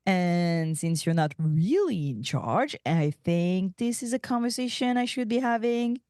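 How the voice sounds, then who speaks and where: A woman's voice: on the low side, expressive, a little slow, warm tone, no room sound, clean studio sound. Woman playing a sardonic, mercenary alien aunt, swooping intonation. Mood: shrewd, sardonic. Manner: sardonic, cutting. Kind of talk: table read of animated sci-fi comedy script.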